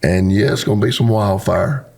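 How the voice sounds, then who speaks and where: Hoarse, gravelly pastor. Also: male voice, lowish voice, normal speed, darkish tone. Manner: earnest and preacherly, with homespun sayings. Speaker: pastor and podcast host. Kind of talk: casual conversation, closing a podcast episode.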